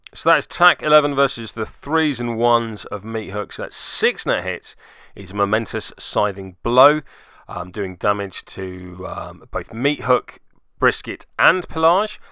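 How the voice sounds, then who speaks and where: A man's voice: mid-range voice, pushed and bright, clean tone, no echo, clean studio sound. A man narrating a tabletop game play-by-play in voice-over, with wry, self-deprecating asides. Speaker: host, hobby gaming channel narrator.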